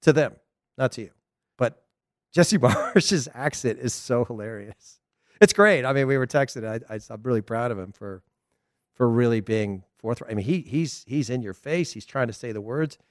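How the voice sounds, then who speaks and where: A middle-aged man with a Southern American accent, hosting a casual soccer talk show, chatty and animated with frequent laughs. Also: medium pitch, moderate pace, dry recording, clean studio sound. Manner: chatty, animated, laughs often, loose restarts. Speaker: soccer podcast host. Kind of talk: casual solo commentary.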